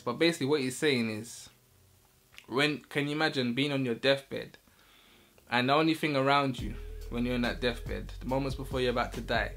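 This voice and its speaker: A man's voice: medium pitch, a bit bright. A man talking casually to camera as a vlogger, in a loose, chatty way, often checking in with his listener.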